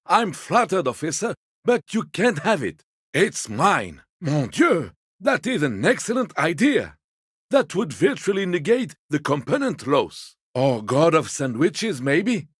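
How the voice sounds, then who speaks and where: An actor playing a fussy, timid old gourmand gentleman in a video game, a husky voice and a polite, hesitant, slightly pompous manner. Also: on the high side, big pitch swings, unhurried, no room sound, clean studio sound, exaggerated voice. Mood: politely evasive. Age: older. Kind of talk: video game character dialogue.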